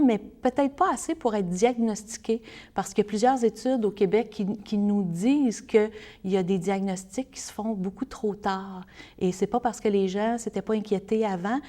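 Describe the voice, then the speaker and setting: A woman's voice: mid-range voice, mellow. A woman with a Québécois French accent giving earnest, explanatory answers as an interview guest speaking for a service.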